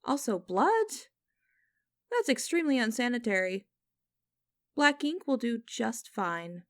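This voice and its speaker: A woman playing a cold, condescending female boss in an ASMR audio roleplay, speaking to the listener in a deliberate, commanding, mocking way. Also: fairly high pitch, sing-song, a bit bright. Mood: cold, controlled. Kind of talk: ASMR roleplay acting, direct address to listener.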